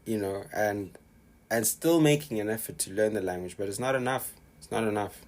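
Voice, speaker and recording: A man telling stories in a relaxed podcast chat, often restarting his sentences and dropping slang. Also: on the low side, brisk. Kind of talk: casual chat.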